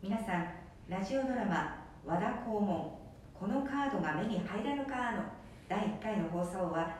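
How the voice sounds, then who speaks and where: A woman hosting a radio program, gently explaining and introducing the drama. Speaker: radio show host. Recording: echoey room, distant mic, faint rumble.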